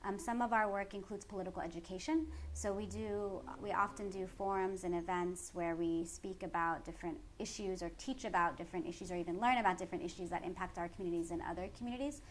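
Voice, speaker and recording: A woman's voice: lowish voice, steady tone, fast, hardly any pauses. A woman from the San Francisco Bay Area with a Californian American accent, giving articulate, earnest explanations as a community organizer in an interview. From San Francisco Bay Area, United States. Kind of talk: explanatory interview answers about organizing work. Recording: clearly noisy background ambience.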